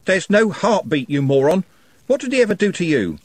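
A raging young street lad hollers in a high, strained voice, ranting at everyone in reach, as a husky-voiced man puts him on. The lines are dry and close, with the pitch leaping around. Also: wildly swooping pitch, takes it slowly, no room sound, full character voice. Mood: furious. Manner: shouting, ranting in outrage.

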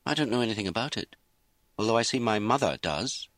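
Man voicing an indignant young gentlewoman, pitched way up. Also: expressive, fairly quick, dry recording. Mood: anxious, puzzled. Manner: emphatic, exclaiming. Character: outraged young married gentlewoman, anxious and indignant. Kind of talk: audiobook character acting.

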